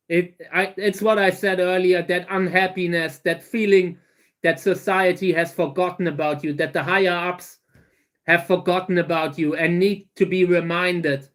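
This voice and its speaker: A German man in his thirties with a German accent, answering viewer questions on a casual livestream, halting and restarting his sentences often. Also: high-pitched, a bit bright. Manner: halting, many restarts and fillers. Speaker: livestream host.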